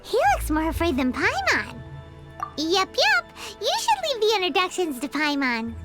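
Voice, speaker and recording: A woman voicing a small, childlike fairy companion in a game, timid but bossy, squeaky and excitable. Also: normal speed, full character voice. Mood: cocky. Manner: refers to self in third person, excitable. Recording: loud game music behind.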